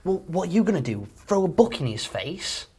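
A man's voice: sits high, big pitch swings, a little fast, a little mellow, breathy edge, full character voice. A man playing a cocky lad. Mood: cocky teasing. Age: young adult. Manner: swaggering, teasing, swears freely. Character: cocky brash young male sports graduate. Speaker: actor in amateur short film.

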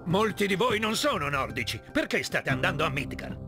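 Un attore che interpreta un fabbro nano della mitologia nordica, supplichevole, entusiasta e teatrale, in un filmato di videogioco. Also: male voice, a little high, very animated, normal speed. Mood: eager. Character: eager, pleading male dwarf smith of Norse myth. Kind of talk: video game cutscene acting. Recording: loud music under the voice.

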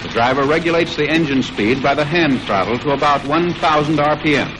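American male narrator, 1940s instructional film, higher register, formal measured read, slightly noisy vintage soundtrack.

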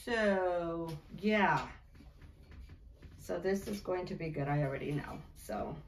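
A woman's voice: on the low side, animated, slow pace. A woman co-hosting a reaction video, chatting casually with her partner. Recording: echoey room with faint background noise.

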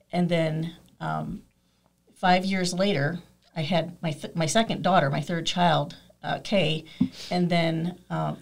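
A woman in her forties, a podcast guest in a studio, telling her story in a soft, hesitant way with many fillers. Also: low-pitched, vocal fry. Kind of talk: personal story in interview. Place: small studio. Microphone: studio mic with headphones.

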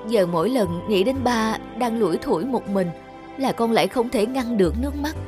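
A woman's voice: a little high, moderate pace, close to an everyday voice. A woman playing a regretful, loving grown daughter in a cartoon drama, earnest and sorrowful. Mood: tearful regret. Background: music.

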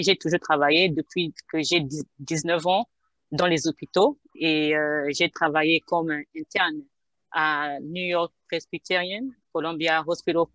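A woman's voice: sits low, steady tone, unhurried, a bit bright, no echo. A woman in her thirties from Gabon, a pharmacist answering interview questions on a headset from a home office, hesitant with many fillers. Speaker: guest pharmacist. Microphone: headset on video call.

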